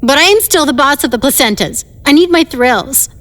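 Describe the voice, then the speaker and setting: An insecure teenage girl boss from a 1950s audio drama. Her voice sits on the high side and carries a long reverb tail. She talks in a wistful, conversational way that readily turns defensive. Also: expressive, moderate pace, a bit bright. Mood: stubbornly defiant.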